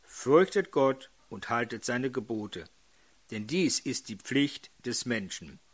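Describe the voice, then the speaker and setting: Hoarse older man preaching slowly. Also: medium pitch, expressive. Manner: addresses congregation with questions. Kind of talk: sermon.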